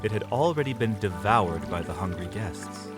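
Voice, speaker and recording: A man's voice: fairly low pitch, expressive, moderate pace, music in the background. A man narrating an audio drama in a dramatic, scripted storytelling style.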